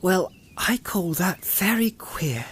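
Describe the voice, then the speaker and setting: A man voicing an anxious, well-spoken young male hobbit in an audiobook, measured and uneasy in manner. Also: high-pitched, sing-song, fairly bright tone, slight background noise, voice pushed up, exaggerated voice. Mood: uneasy, wondering.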